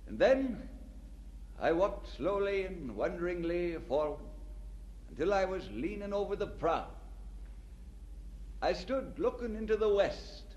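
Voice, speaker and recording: Expressive, earnest young Scotsman. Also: sits high, lo-fi sound, slight background noise. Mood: fervent. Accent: Scottish. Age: young adult. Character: earnest, devout young Scottish immigrant man. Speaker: actor and narrator in an audio drama. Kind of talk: audio drama acting with first-person narration.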